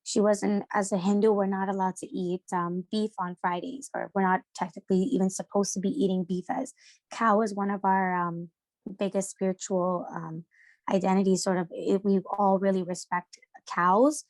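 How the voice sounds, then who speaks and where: A young woman from Sri Lanka, a podcast guest talking casually and haltingly, with many fillers and restarts. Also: medium pitch, level tone, a little mellow, no room sound. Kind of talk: casual discussion.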